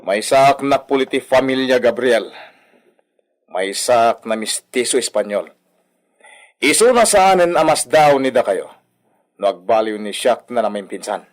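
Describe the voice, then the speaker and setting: An actor with a Filipino accent playing a bossy, pompous young master who poses as Spanish gentry in a radio drama. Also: male voice, mid-range voice, lively intonation, measured pace, pauses often, natural voice. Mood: haughty. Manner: pompous, commanding, peppered with Spanish words.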